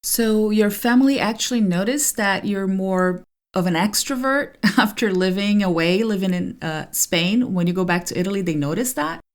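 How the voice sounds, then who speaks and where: A woman's voice: mid-range voice, animated, normal speed. A woman whose first language is Portuguese, hosting an interview podcast in an easy, enthusiastic, chatty way. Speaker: podcast host. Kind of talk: conversational interview.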